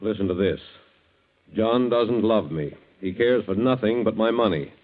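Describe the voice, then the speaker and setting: An actor playing a grave doctor solemnly reading a letter aloud in a 1940s radio drama. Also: male voice, lowish voice, normal speed, natural voice. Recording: dull 1940s radio recording.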